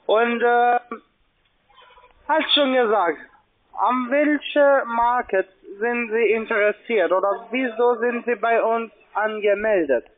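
A man with a very high voice speaks non-native German with a foreign accent over a narrow, tinny phone line. He works through a pushy sales call, putting questions to the customer. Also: slow pace. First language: not German. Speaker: call-centre agent selling online trading.

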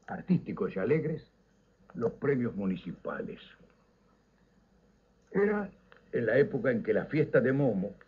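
An older man with a Uruguayan Rioplatense accent, telling nostalgic stories on radio in a theatrical, declamatory way with dramatic pauses. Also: medium pitch, creaky, breathy edge. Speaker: radio storyteller and host. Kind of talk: nostalgic scripted radio narration. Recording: slightly dull, lo-fi radio recording.

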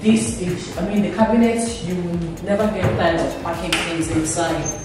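A woman's voice: on the low side, moderate pace, far from the mic, background noise. A young Nigerian woman giving an enthusiastic, exclamatory walkthrough of a house, recorded on a camera a few steps away. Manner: gushing, exclamatory, repeats praise. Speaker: vlogger giving a house tour. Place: empty furnished house, indoors, echoey rooms. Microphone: camera mic, filmed from a few steps away. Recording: roomy echo, off-mic, loud music bed.

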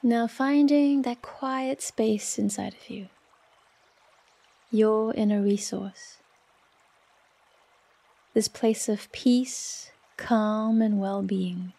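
A woman leading a guided relaxation meditation in a soft, soothing, unhurried manner with long pauses. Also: fairly high pitch, gravelly. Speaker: yoga nidra meditation guide.

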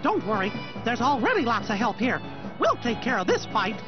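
Kindly cartoon mouse king, squeaky falsetto, very animated, game cutscene, music behind. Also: a little fast, lo-fi sound. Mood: reassuring, urgent.

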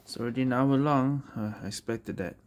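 A man's voice: medium pitch, a little fast. A man giving casual commentary as a game streamer while playing. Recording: game music behind the voice.

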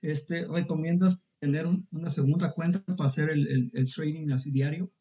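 A man's voice: fairly high pitch, steady tone, moderate pace, mellow. A man asking a question in an online class Q&A, hesitant with many fillers. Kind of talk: video call Q&A. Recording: muffled lo-fi call audio.

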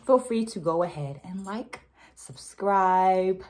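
A middle-aged woman talking to camera about a book in a deliberate, articulate, explanatory manner. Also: medium pitch, a little mellow. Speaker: educator and book reviewer. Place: small indoor room at home. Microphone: phone camera a short distance away.

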